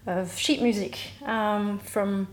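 A woman talks as an interview guest at an average pitch. She speaks hesitantly, with halting starts. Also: steady tone. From Australia. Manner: hesitant, many fillers and restarts. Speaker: guest musician. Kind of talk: casual interview chat.

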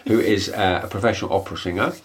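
Deep-voiced male interviewer, hesitant. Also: fairly even intonation, racing. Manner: hesitant, with fillers and restarts. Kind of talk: casual interview conversation.

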